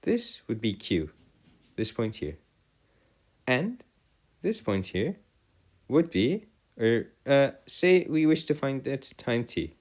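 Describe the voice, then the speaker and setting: A man tutoring a math and physics lesson in formal, old-fashioned wording, often halting and correcting himself. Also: mid-range voice, very animated, darkish tone.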